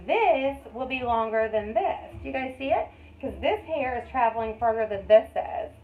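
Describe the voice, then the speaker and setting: A woman in her thirties, a hairstylist, talks through each cut in a chatty teaching voice, a little higher than most. She is recorded on a phone in an echoey salon, and the sound is thin and phone-like with a faint hiss behind it. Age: 30s. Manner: chatty, explains step by step while working. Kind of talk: hair-cutting tutorial. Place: hair salon. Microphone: phone camera.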